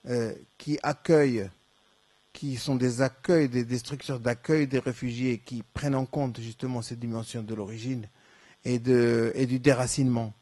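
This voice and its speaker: A man's voice: medium pitch, mellow, no echo. Moroccan guy at a conference q&a, lots of euh and restarts, dry close sound. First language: Moroccan Arabic (Darija). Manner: hesitant, many restarts and fillers, reflective. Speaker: conference speaker. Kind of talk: answering audience questions at a conference. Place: lecture hall.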